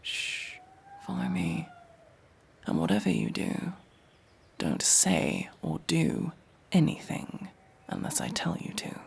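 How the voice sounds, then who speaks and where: A woman playing a gruff, guarded, world-weary elf in a fantasy ASMR roleplay, curt and bossy with hushed delivery. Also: deep voice, wildly swooping pitch, takes it slowly, pauses often, heavily acted. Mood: tense, stern. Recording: faint background noise with ambience.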